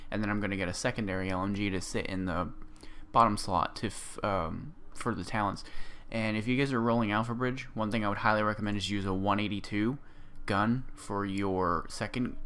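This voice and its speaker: Gaming creator, male, voice sitting a bit low, hoarse from a sore throat, loose and rambling delivery. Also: fairly even intonation, fairly quick, a bit bright. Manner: rambling, full of ums and restarts. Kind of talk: casual gameplay build walkthrough.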